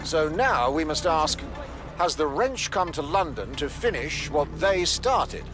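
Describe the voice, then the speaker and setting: An urgent, alarmist TV news reporter from a video game. The voice is fairly high and swings animatedly through formal broadcast phrasing. Music runs clearly underneath. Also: male voice, big pitch swings, measured pace. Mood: urgent, grave.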